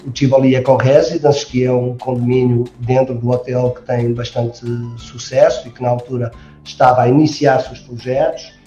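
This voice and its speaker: A man's voice: mid-range voice, flat delivery, moderate pace, warm tone, soft background music. Portuguese man, hesitant, in a roomy space. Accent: European Portuguese. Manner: hesitant, many fillers and restarts. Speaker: resort general manager, guest. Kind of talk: interview answers. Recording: roomy, dull sound.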